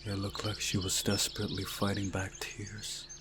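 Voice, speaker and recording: A man's voice: lowish voice, completely flat delivery, moderate pace, heavy background noise. A man reading a visual novel story aloud as narration, sometimes going back over a phrase. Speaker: visual novel player narrating and voicing the game's text.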